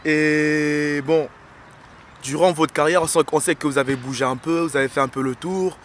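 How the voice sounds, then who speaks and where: A man's voice: fairly high pitch, steady tone, normal speed. A man interviewing a musician casually, asking questions and repeating his guest's answers back to him. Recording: outdoor recording with faint street noise.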